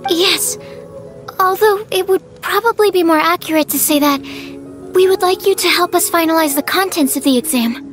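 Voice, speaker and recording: A woman's voice: very high-pitched, moderate pace, faint music behind. A woman voicing an earnest, polite, bookish young alchemist in a video game, explaining things carefully and precisely. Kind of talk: video game dialogue acting.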